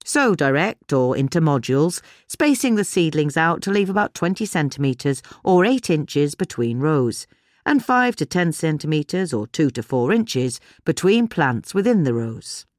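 A woman's voice: low voice, sing-song, unhurried, darkish tone, dry recording, studio quality. A woman with a British accent reading a scripted article as a narrator.

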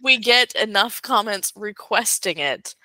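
A woman's voice: mid-range voice, animated, measured pace, sharp tone, no room sound, rough recording. A young woman co-hosting a podcast in casual chat, chatty and giggling at her own stories. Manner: chatty, giggly, self-amused. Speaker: podcast co-host.

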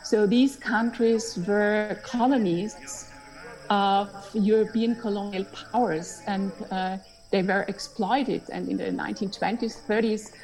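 Female academic, Austrian accent, native German speaker. Reflective, searching explanation at medium pitch over prominent music. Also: steady tone, unhurried, darkish tone, poor audio. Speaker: historian, academic researcher. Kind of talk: reflective spoken explanation of research.

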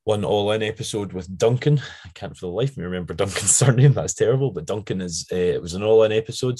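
A Scottish man with a low, slightly croaky voice, chatting casually and ramblingly as he introduces a podcast. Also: a little mellow. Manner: chatty, rambling with frequent tangents. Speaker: podcast host.

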